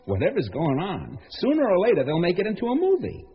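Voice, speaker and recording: A man's voice: high-pitched, wildly swooping pitch, fairly quick. A man playing a brusque, nagging, self-absorbed show-business agent in a radio comedy-drama. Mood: distracted, irritable. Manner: brusque, nagging, matter-of-fact. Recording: dull lo-fi radio sound, faint noise.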